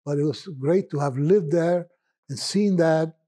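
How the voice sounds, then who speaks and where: Puerto Rican-accented man with a mellow voice. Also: a little high. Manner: rambling, reminiscing, with filler pauses. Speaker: poet guest. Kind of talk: relaxed conversational storytelling.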